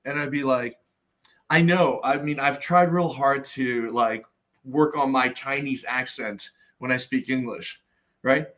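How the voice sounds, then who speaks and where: A man's voice: medium pitch, unhurried, a bit bright. A middle-aged man from Canada talks in a loose, profane, conversational way. He is blunt and fills his sentences with "you know" and "right?" as he tells his stories. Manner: blunt, profane, storytelling with many fillers. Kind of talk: casual roundtable discussion.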